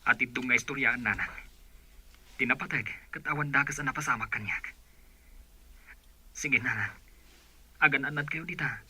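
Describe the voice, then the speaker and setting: Man as reassuring, evasive son, Filipino accent, audio drama, tense sharp medium-pitched voice. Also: normal speed, pauses often, slightly rough. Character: evasive grown son reassuring his mother.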